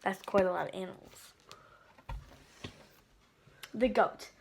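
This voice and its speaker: A woman reading a simple children's book aloud in a measured, deliberate way. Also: mid-range voice, gravelly. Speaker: picture book reader.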